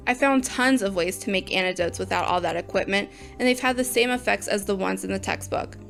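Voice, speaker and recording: A woman's voice: fairly high pitch, vocal fry, a bit bright. A woman voicing an opinionated botany teacher who lectures bluntly and scoffs at textbooks. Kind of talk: audio drama acting.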